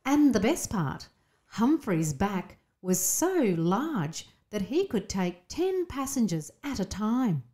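A woman narrating a scripted children's bedtime story in an expressive storybook manner. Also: medium pitch, takes it slowly.

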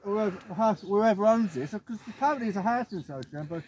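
A man chats casually in a distinctly high voice. He talks quickly, doubling back on his words as he thinks aloud. Faint outdoor noise sits behind him. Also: lively intonation, darkish tone. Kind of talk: casual chat. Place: outdoors by a grassy field. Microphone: camera a few feet away.

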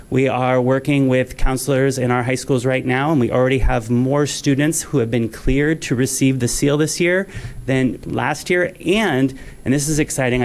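A man's voice: medium pitch, vocal fry. A man in his forties, a school district director, reading a resolution at a board meeting, formal with frequent ums, at a podium microphone in a meeting room.

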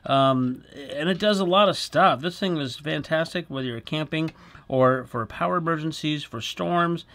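A man's voice: a little high, fairly bright tone. A middle-aged man, chatty and explanatory, hosting a casual product demonstration in a small indoor studio. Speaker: product review host.

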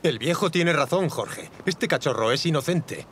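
A man's voice: fairly high pitch, expressive, moderate pace, fairly bright tone, a little hiss or hum. A man playing a reasonable, fair-minded villager in a cartoon, speaking earnestly and firmly. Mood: urgent, firm. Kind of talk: animated series dub acting.

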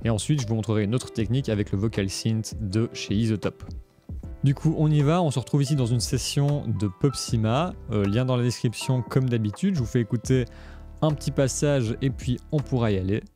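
A man's voice: mid-range voice, fairly quick, warm tone. A man presenting a music production tutorial in a casual, step-by-step explanatory way. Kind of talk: casual screencast tutorial narration.

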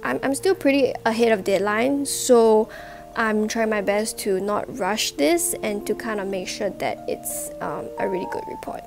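A woman's voice: medium pitch, moderate pace, music in the background. A woman in her twenties with a flu-stuffed, nasal voice, chatting to camera about her law internship in a casual vlog, explaining things step by step with plenty of fillers. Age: 20s. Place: indoors, home room. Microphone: wired earphone mic.

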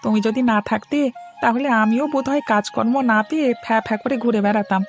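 A woman's voice: on the high side, quick pace, faint music behind, lightly acted. A woman voicing an eager grateful village lad. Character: grateful young male village helper.

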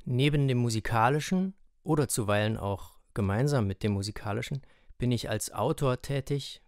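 A man's voice: mid-range voice, normal speed, a little mellow, clear, resonant tone, no room sound, studio quality. A man from eastern Germany, a musician, giving a prepared self-introduction. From Halle, eastern Germany. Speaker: jazz trumpeter.

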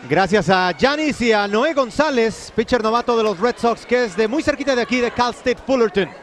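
High-voiced man calling a live boxing fight. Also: animated, moderate pace. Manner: playful, jokey calls, exclamations at big moments. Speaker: boxing play-by-play commentator. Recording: loud arena crowd and noise behind.

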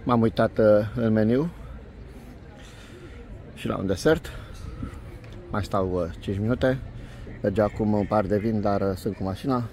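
A man doing a casual food review vlog in short, unhurried, appreciative phrases, recorded outdoors on a handheld phone. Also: fairly low pitch, a little mellow, slight background noise. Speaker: food vlogger. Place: outdoor restaurant terrace by a lake.

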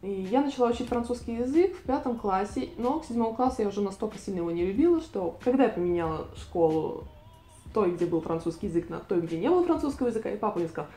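A woman's voice: mid-range voice, expressive, mellow, faint music behind. Chatty young woman in an echoey room. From Latvia. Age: 20s. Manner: chatty, self-ironic, with pauses and restarts. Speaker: vlogger. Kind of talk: casual talking-head vlog. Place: living room with bookshelf, indoors. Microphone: lapel mic.

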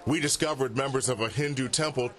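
A man narrating a TV news report in a steady, measured way. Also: mid-range voice.

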